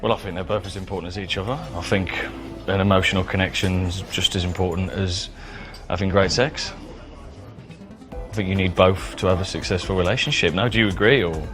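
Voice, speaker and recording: An actor as an easygoing British man on a date, attentive and flirty. His voice is very low and his delivery casual, with music clearly playing behind him. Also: fairly even intonation, a little fast, natural voice. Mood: agreeable. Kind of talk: naturalistic improvised dating-scene dialogue. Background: background music.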